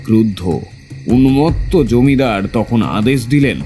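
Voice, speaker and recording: A man acts out the doomed heir of a cursed landlord family in a horror audio drama. He speaks very slowly and gravely, haunted and afraid, with soft music running underneath. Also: medium pitch, lively intonation. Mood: ominous storytelling.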